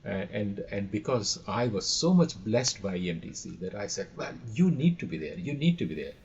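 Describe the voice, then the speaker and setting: A man's voice: lowish voice, very animated, moderate pace, slightly rough. A man talking enthusiastically in conversation as a guest, often repeating his phrases and telling anecdotes. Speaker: audio and radio trainer, podcast guest. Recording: dull, lo-fi call audio.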